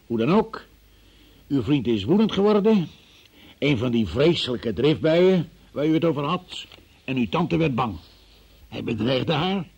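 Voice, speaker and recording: Gravelly, relentless male interrogator. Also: on the high side, darkish tone, exaggerated voice. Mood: methodical, insistent. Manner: insistent, probing, methodical, sceptical. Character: relentless male interrogator questioning a young murder witness. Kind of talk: Dutch radio play acting.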